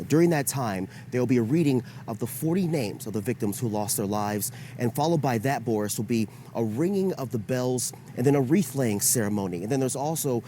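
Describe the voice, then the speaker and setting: Male field correspondent, warm mellow tone, live broadcast report with slight outdoor ambience. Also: mid-range voice, slight background noise. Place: outdoors near a memorial site.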